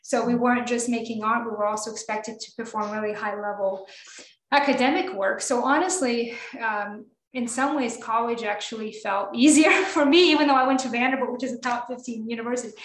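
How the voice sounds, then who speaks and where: A higher-pitched woman talks with eager, high energy as a guest on a radio arts program. Her speech runs quick and passionate, with frequent ums. The voice sits in a roomy, echoing space. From Russia. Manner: energetic, enthusiastic, many fillers. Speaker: guest pianist. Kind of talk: radio interview.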